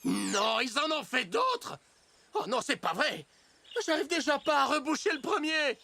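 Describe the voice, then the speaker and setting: A weary, grumbling cartoon father who drawls slowly with a rough, gravelly edge. His pitch swings about as he complains, and soft music runs underneath. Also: very animated, slight background noise, heavily acted. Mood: dismayed. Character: harried grown-up dad, grumbling and fed up. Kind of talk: animated series dub.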